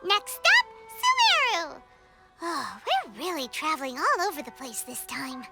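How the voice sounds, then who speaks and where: Anime game mascot fairy: childlike squeak, extremely high, wildly animated, chirpy, excitable, cheeky. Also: female voice, unhurried, gravelly, faint music behind, heavily acted. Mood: excited. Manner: excitable, talks about self in third person. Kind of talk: English anime game dub.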